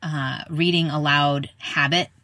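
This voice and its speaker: A woman's voice: low-pitched, fairly even intonation, a little slow, bright, forceful tone, no room sound. A middle-aged woman hosting a podcast, chatting informally in a casual interview style. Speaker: podcast host, speech-language pathologist.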